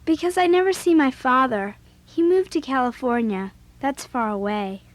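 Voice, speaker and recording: A woman playing an earnest, bossy young girl babysitter in an audio drama, with an expressive, youthful way of talking. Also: high-pitched, normal speed. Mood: earnest, reassuring. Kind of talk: audio drama acting and first-person narration.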